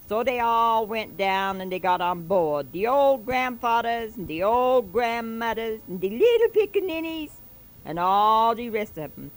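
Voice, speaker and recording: A woman's voice: mid-range voice, natural voice, low-quality recording. A woman voicing a fervent old-time male preacher who tells a parable in a drawling dialect, exhorting his congregation with repetitive asides. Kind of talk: comic dialect sermon recitation.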